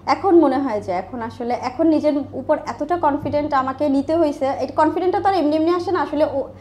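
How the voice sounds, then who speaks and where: A woman's voice: sits high, brisk, darkish tone, slight background noise. A Bangladeshi woman telling personal stories as an interview guest, talkative, repeating phrases and voicing quoted dialogue. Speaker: interview guest, small business owner. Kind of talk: conversational interview, personal storytelling.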